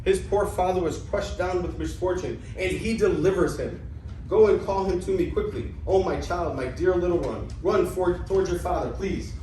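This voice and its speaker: Man playing an excitable old father, echoey and distant. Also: high-pitched, moderate pace, natural voice. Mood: gloating. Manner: theatrical, exclamatory, stumbling over words. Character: excitable, anxious old debtor father, scheming and gloating. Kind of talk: amateur dramatic play reading. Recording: echoey room, distant mic, faint noise.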